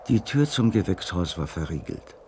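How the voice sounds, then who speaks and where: Anxious loyal sidekick guy telling a detective radio play, super low creaky voice. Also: sing-song, moderate pace, darkish tone, a little hiss or hum. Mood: tense narrating. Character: Holmes's anxious loyal companion, narrating their nighttime burglary. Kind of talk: radio drama narration and dialogue.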